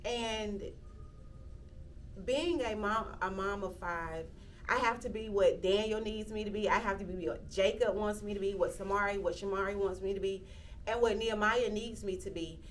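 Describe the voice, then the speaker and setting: A woman, speaking reflectively in an interview, often pausing with "um" and restarting sentences. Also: mid-range voice, distant mic, a little hiss or hum. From Athens, Georgia, US. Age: 30s. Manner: reflective, with frequent "um" fillers and restarts. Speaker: interviewee, local county commissioner. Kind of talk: casual interview talk.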